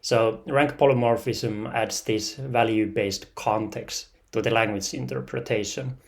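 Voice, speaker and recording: A man's voice: medium pitch, fairly even intonation, a little slow, vocal fry. A young man with a Finnish accent giving a technical thesis talk, hesitant and full of fillers. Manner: hesitant, many "uh" and "kind of like" fillers. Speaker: researcher presenting thesis.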